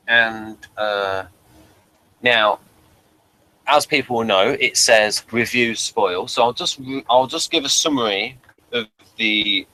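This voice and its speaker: A man hosting a casual review show, talking in a halting, rambling way and reading summaries aloud. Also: on the low side, sharp tone, slight background noise, low-quality recording. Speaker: podcast host.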